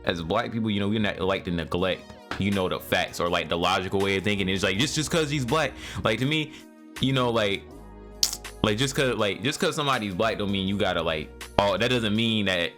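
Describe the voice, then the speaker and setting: A man's voice: fairly low pitch, a little fast, a bit bright, faint music behind. A young man co-hosting a podcast, chatting casually in a slangy, rambling way with many restarts. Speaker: podcast co-host. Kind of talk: casual chat.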